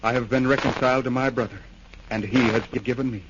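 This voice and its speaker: An actor playing a grieving, devout war veteran in an earnest, solemn 1930s radio drama style. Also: male voice, mid-range voice, steady tone, fast, close to an everyday voice. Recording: noisy, dull 1930s radio recording.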